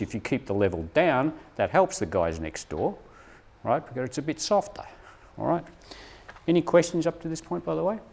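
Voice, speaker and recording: A man's voice: on the low side, darkish tone. A man presenting a live workshop to teachers, explaining things and often checking in with "right?".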